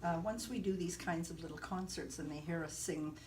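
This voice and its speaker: A woman soprano and opera director chatting in an interview, lively and laughing often. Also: fairly low pitch, fairly quick. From partly Caribbean. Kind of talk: casual interview chat. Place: indoor concert venue. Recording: distant mic, noisy room.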